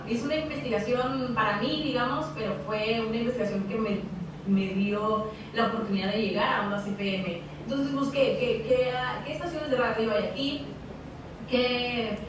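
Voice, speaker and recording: A young woman with a Mexican accent answers questions hesitantly, circling back over her words as she goes. Her voice sits a little high. The audio is distant and very echoey, with steady hiss behind her. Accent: Mexican Spanish. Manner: hesitant, repeats words, thinks aloud. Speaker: radio announcer and guest speaker. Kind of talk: interview over a video call. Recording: echoey, distant, noisy video call audio.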